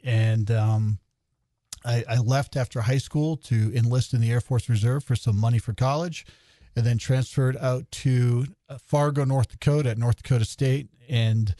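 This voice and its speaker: A man's voice: mid-range voice, steady tone, normal speed, dark tone, no room sound. A man in his fifties from New Hampshire, a county commissioner and retired officer, telling his background as a guest on a radio talk show with many fillers. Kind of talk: radio interview, telling his life story.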